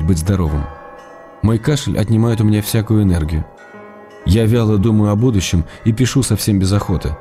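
Casting: a man playing an ailing writer in midlife, wry and intimate, lowish voice, reading love letters for radio over music. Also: warm tone, lightly acted. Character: ailing middle-aged writer, tender, teasing, writing love letters.

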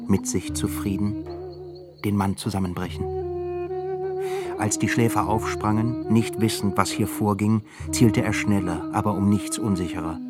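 A man narrating a radio drama in a measured, grave way. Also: low voice. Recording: music bed under voice. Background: music.

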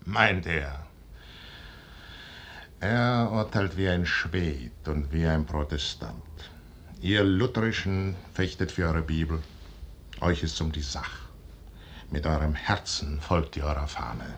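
An actor playing a proud, brooding middle-aged general in a 1950s radio play, declaiming in a weighty, solemn stage manner. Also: male voice, fairly bright tone, full character voice. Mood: scornful. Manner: declamatory, weighty, solemn delivery with measured pauses. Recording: noisy 1950s radio recording with rumble.

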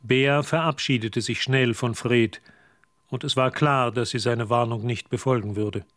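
An actor narrating a dark radio drama as a sober, measured, matter-of-fact storyteller. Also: male voice, medium pitch, fairly even intonation, no room sound, clean studio sound, natural voice. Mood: measured, ominous. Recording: music under the voice. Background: music.

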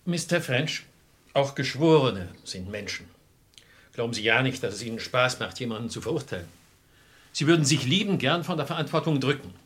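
An actor playing a resolute male defence lawyer, formal and deliberate, in a classic crime radio play. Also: mid-range voice, animated, a bit bright, natural voice. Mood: urgent, steadying. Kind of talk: classic German crime radio drama acting.

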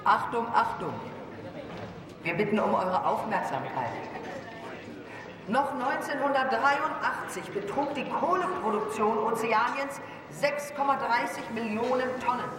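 An actress playing a proud state propaganda announcer in a radio drama, declaiming formally over a loudspeaker. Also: female voice, fairly high pitch, lively intonation, unhurried. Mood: proud, official. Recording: echoey hall, distant, crowd din behind.

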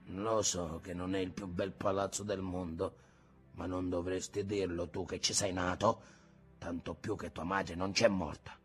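A man voicing a weary, grieving old fisherman grandfather in an audiobook, speaking slowly and pleadingly. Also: medium pitch, animated, fairly bright tone, soft background music. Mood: hurt. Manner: slow, pleading, subdued. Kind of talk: audiobook character reading.

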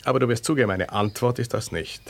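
A man's voice: medium pitch, fast, vocal fry, slight background noise, close to an everyday voice. Jealous husband, played by a man, Austrian, ironic and probing, 1970s radio drama. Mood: probing, suspicious. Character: jealous husband, sardonic, smooth-talking, needling his wife.

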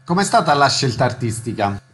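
A man's voice: mid-range voice, very animated, a little fast, fairly bright tone, faint music behind. A man working as a radio interviewer, delivering prepared introductions and short questions.

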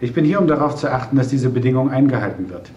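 A man's voice: medium pitch, animated, fast, dark tone, slight background noise, lightly acted. An actor in a German radio play, playing a firm, formal lawyer who guards his client. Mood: firm, official. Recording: dull, echoey old radio recording.

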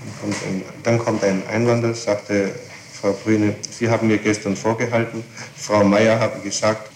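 A man's voice: on the low side, flat delivery, measured pace. A man reading a statement back in a formal, matter-of-fact way during an interrogation. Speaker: police record taker. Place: echoey interrogation room. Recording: echoey archival tape with faint hiss.

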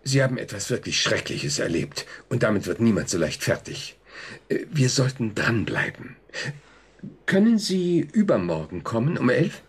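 An actor playing a probing, reassuring psychiatrist in a German radio drama, explaining things in a clinical manner with a husky voice. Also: male voice, mid-range voice, very animated, moderate pace, heavily acted. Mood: professional, reassuring. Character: conscientious male psychiatrist, probing, reassuring, easily unsettled. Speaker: doctor.

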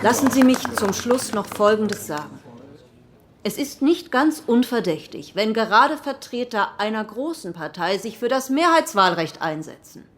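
An earnest postwar stateswoman argues before an assembly in a radio drama. Her rhetorical delivery sits at a middling pitch. A faint hiss lies under it. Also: sing-song, normal speed. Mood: pointed. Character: female centrist politician giving a 1948 parliamentary speech. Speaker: parliament member. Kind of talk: historical radio drama acting.

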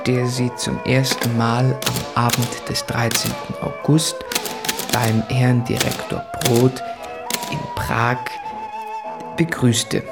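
An actor playing an anguished, introspective writer in a literary radio drama, confessing in a halting, brooding way. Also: male voice, mid-range voice. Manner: slow, halting, brooding, with deliberate pauses.

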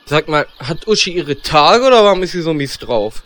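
Casting: male actor for cheeky gamer hero, slightly high, animated voice, fantasy parody audio drama. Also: moderate pace.

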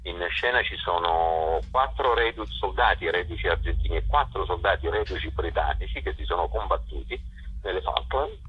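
A man's voice: sits low, lively intonation, moderate pace, slightly rough. A man, a festival director, presenting a programme off the cuff on radio, hesitantly searching for his words over a narrow, tinny telephone-like line. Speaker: festival director being interviewed.

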